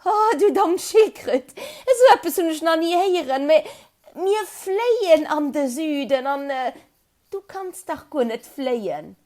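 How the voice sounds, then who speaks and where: Woman doing a high, chirpy little bird. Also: expressive, slow, a little mellow. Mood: mocking. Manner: chirpy, exclaiming. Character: small chirping migratory bird, amused, slightly superior.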